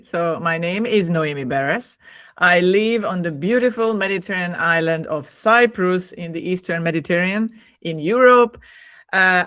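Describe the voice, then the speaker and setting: A woman's voice: on the low side, fairly bright tone, no echo, studio quality. A woman with a Hungarian accent, a native Hungarian speaker, chatting enthusiastically as a podcast guest over a video call, laughing often and repeating words. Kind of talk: casual conversation.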